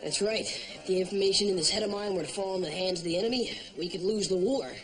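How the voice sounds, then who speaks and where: An actor playing a guarded, pedantic wartime rocket scientist in a television sitcom. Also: male voice, high-pitched, quick pace, natural voice, poor audio.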